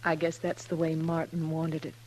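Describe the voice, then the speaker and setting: A woman's voice: sits low, monotone, moderate pace, dark tone, natural voice, low-quality recording. A woman playing a proud, loyal sister in a radio western, with a restrained and polite manner. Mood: stricken, dignified. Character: proud, loyal, grieving sister in Old West. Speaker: radio drama actress. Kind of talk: 1950s radio western acting. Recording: old radio recording with faint noise, hum.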